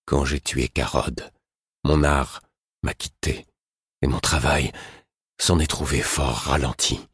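Storybook king, drained and grave, very deep and husky, as read by a male narrator. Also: fairly even intonation, a bit bright, no room sound, clean studio sound, full character voice. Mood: weary. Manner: slow, weary and grave. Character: exhausted aging male king, solemn.

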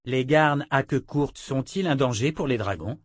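A man's voice: medium pitch, moderate pace, no room sound, clean studio sound, voice pushed up, lightly acted. A man voicing a bold, confident hero in an audiobook with a firm, defiant manner. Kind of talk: audiobook character voice.

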